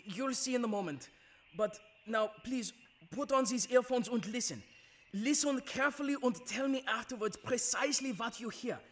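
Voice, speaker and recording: A man's voice: very high voice, moderate pace, a little hiss or hum. An actor playing an agitated, obsessive inventor in a radio drama, urgent and insistent, stammering as he pleads and orders. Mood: urgent, intent. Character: agitated, obsessive male amateur sound inventor, desperate and insistent.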